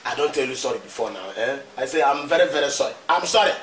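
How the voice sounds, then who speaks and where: A man with a Nigerian accent playing an excitable, eager young church servant. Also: mid-range voice, fast, a little hiss or hum, lightly acted. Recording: echoey, a bit far from the mic.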